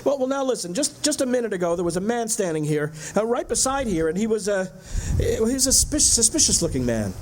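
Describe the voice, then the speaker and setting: A man's voice: sits high, big pitch swings, fast talker, hardly any pauses, a little mellow, a little hiss or hum. A man with an American accent playing an anxious, haunted driver in a radio drama, earnest and uneasy. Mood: nervous, pleading. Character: haunted, anxious male motorist narrating his road trip. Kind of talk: dramatic first-person radio drama narration and dialogue.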